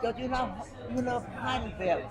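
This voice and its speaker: Woman, nineties, German accent, native German speaker, emphatic and repetitive in casual interview, loud crowd chatter around her. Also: a little high, animated, moderate pace. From Bavaria, Germany. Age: 90s. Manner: emphatic, repeats herself. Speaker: longtime restaurant customer interviewed. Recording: busy public room, loud crowd chatter. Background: crowd chatter indoors.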